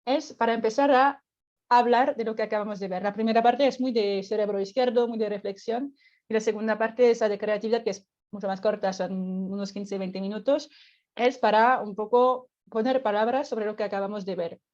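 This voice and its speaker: French-accented woman, mellow and patient. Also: mid-range voice, fairly even intonation, brisk, muffled recording. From France. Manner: patient explainer, many "bueno" and "o sea" fillers, light laughs. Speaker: guest explaining a climate workshop. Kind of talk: explanatory radio interview.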